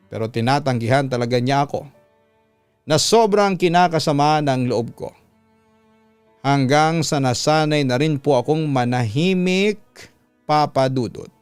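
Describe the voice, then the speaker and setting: A Filipino man with an announcer's delivery, narrating a dramatic story from a letter in a measured, earnest way. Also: medium pitch, smooth, dry recording. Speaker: radio announcer reading listener letters. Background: background music.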